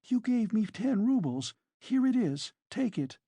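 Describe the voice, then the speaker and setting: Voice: mid-range voice, very animated, unhurried, dark tone, breathy edge, dry recording, voice pushed up, exaggerated voice. A narrator voicing a meek, frightened young woman who is falsely accused, in a dramatised audiobook. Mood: terrified. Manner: timid, frightened.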